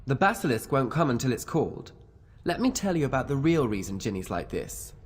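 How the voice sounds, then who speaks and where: An actor playing a smug, sinister teenage dark wizard in a video game, coolly gloating in a reverberant space. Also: male voice, mid-range voice, big pitch swings, breathy edge. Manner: cool, gloating, deliberate. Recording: long cavernous reverb.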